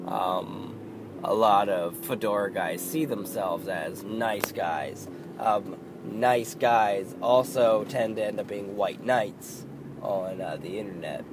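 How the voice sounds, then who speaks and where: Male podcast host, 30s, hoarse, failing voice, slow and rambling, traffic noise behind. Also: on the low side. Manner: rambling, halting, swears under his breath. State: losing his voice, hoarse and croaky. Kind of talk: casual solo podcast monologue.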